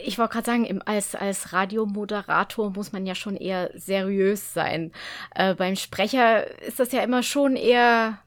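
A woman's voice: mid-range voice, moderate pace. A woman interviewing as a host in a casual, informal way, with hesitant phrasing.

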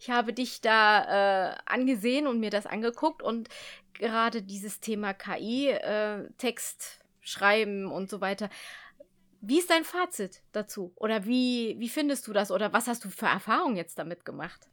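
A woman's voice: mid-range voice, animated, normal speed, a bit bright. A woman hosting a casual radio interview in a conversational, readily amused and agreeable way.

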